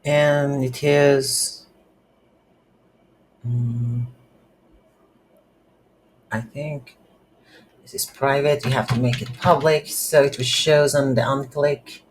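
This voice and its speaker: An instructor talks through a coding tutorial without a script, thinking aloud. The delivery is very slow and halting, in a medium-pitched voice. There is faint noise behind the recording. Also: fairly even intonation, low-quality recording. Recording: light background noise.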